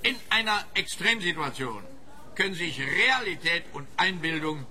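A man's voice: fairly high pitch, expressive, normal speed, pushed and bright. An actor playing a pompous, pedantic policeman in a comic radio detective play, with a lecturing manner. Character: pompous, pedantic male police officer, self-satisfied.

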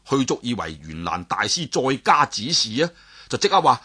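A man with a Cantonese accent telling a martial-arts story in a lively, dramatic storyteller's manner, with sudden exclamations and voices for the characters. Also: medium pitch, normal speed, slightly rough.

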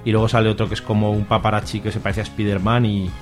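A man's voice: on the low side, flat delivery, fast talker, faint music behind. A man with a Spanish accent from Spain co-hosting a casual radio chat about comics, colloquial and joking. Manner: colloquial, jokey. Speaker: radio show co-host.

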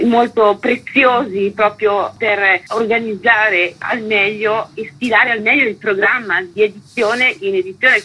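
A woman's voice: fairly high pitch, slightly rough, highs cut off. A woman giving formal, articulate, elaborately phrased answers as a festival director in a radio interview.

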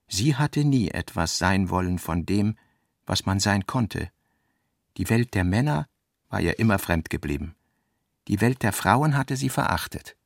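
A man's voice: fairly low pitch, gravelly, dry recording, clean studio sound. A man with a Standard German accent reading scripted literary narration as the narrator of a radio production.

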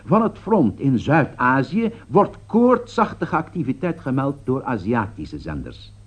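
A grave political commentator in a radio drama. His formal, rhetorical delivery swings widely in pitch around a middle register. The recording is dull and lo-fi. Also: sing-song, measured pace, husky. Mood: grave, foreboding. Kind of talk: scripted radio commentary in a radio play.

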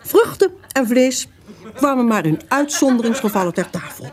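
A woman narrates a scripted story in a fairly high voice. Her intonation is animated and moves widely through the phrases. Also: normal speed.

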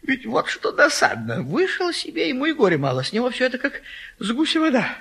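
An actor playing a bossy, exasperated meddling matchmaker with a blustering, scolding, emphatic delivery in a theatrical stage comedy. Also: male voice, very high-pitched, huge pitch swings, fairly quick, vocal fry, a bit bright, husky, full character voice.

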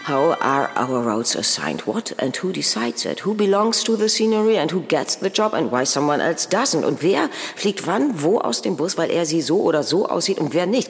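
A performer playing an anxious, searching actor in an insistent, questioning spoken-word monologue, full of repetition. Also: medium pitch. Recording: long reverberant hall.

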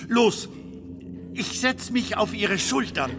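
An actor in a German radio drama playing an eager, playful male film comedian lost in darkness, with a theatrical, expressive delivery. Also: sits high, slow pace, heavily acted. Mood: urgent, excited. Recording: long hall reverb, music behind.

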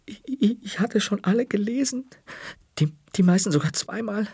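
A man's voice: lively intonation, moderate pace, gravelly, mellow, breathy edge, no echo, studio quality, full character voice. A man voicing a frightened young schoolboy who stammers with a trembling voice. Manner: stammering, high-pitched.

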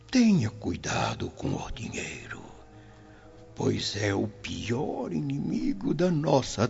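A very gravelly, compassionate old spiritual father speaks in solemn radio drama style. His delivery is slow and swings widely in pitch, like a grave sermon. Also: a little mellow, heavily acted. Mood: humble, kindly. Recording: dull lo-fi audio with music.

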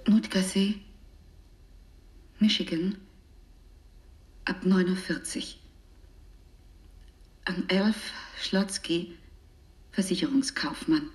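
Casting: resolute, bitter underground woman, low half-whisper, deliberate pacing, roomy taped radio-drama sound. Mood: hushed, intimate.

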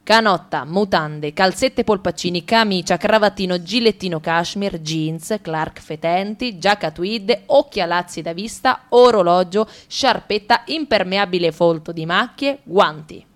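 A woman reading a comic monologue for radio theatre, ticking off lists briskly with ironic, playful emphasis. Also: fairly low pitch. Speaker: radio drama reader.

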